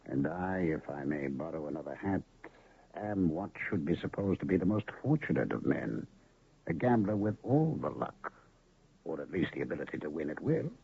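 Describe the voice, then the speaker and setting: A husky, theatrical medicine man. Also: extremely low pitch, sing-song, moderate pace, heavily acted. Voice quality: grand, theatrical. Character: mysterious male medicine man and illusionist. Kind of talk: 1970s radio drama acting. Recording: lo-fi phone-band old radio recording.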